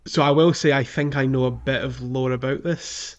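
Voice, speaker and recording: A man's voice: mid-range voice, steady tone, normal speed, highs cut off. Man chatting hesitantly on a gaming podcast. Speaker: podcast host. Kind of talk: casual gaming podcast chat, recapping a playthrough.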